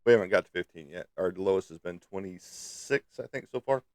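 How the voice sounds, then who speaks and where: A low-pitched man hosts a casual livestream in a close, dry sound. He is slightly stuffed up and talks to viewers in a playful, teasing way. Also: a little fast, no room sound. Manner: playful, teasing, peppered with sung sound effects. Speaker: livestream host.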